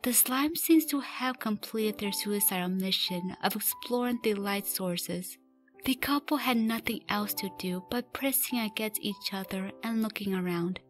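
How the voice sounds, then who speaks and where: A woman's voice: mid-range voice, unhurried, faint music behind, lightly acted. A woman reading scripted story narration for a fan radio drama.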